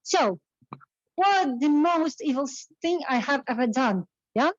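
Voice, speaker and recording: A high-pitched female voice tells an unscripted personal story. She speaks as a club member improvising. The sound is dry and slightly dull. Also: lively intonation, a little slow, no room sound.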